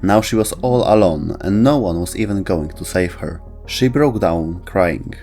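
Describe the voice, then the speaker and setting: A man's voice: low-pitched, lively intonation, measured pace, darkish tone, soft background music. A man reading scripted narration for a video about video game lore.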